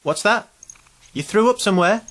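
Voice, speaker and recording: A man's voice: high-pitched, animated, moderate pace, a bit bright. An actor playing an anxious, self-pitying man in his twenties, hesitant and rambling, in an audio drama. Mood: wry, downcast. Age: 20s.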